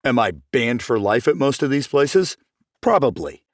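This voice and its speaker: A man playing a self-important, defensive accused businessman in an animated comedy, speaking emphatically. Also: mid-range voice, moderate pace, dry recording, studio quality, lightly acted.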